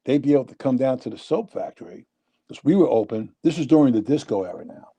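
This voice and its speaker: A man in his sixties with a thick New York accent spins long, winding stories as a guest on a casual chat show. His voice is notably dark and mellow, never bright, and he sits at an ordinary middle pitch. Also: quick pace, vocal fry, husky, highs cut off. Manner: rambling, animated storyteller. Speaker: interview guest. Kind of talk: casual storytelling.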